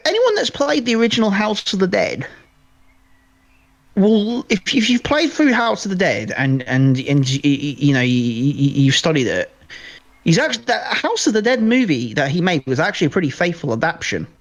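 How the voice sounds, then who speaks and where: A man's voice: sits high, lively intonation, normal speed. Male podcast co-host, conversational, loose and rambling delivery with repeated words. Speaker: podcast co-host discussing films. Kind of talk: casual chat.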